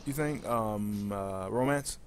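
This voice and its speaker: A man's voice: fairly low pitch, slow pace, a little hiss or hum. A man hosting a casual gaming interview, putting questions to his guests. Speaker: interview host.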